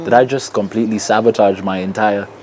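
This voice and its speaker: A man's voice: fairly low pitch, fairly even intonation, a little fast. A Kenyan man talking candidly in an interview, quoting his own past thoughts. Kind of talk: reflective interview talk. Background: music.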